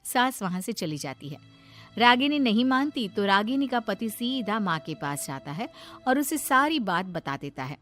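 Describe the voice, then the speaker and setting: A woman narrating a scripted moral story in an expressive storyteller's style. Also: mid-range voice, normal speed, faint music behind.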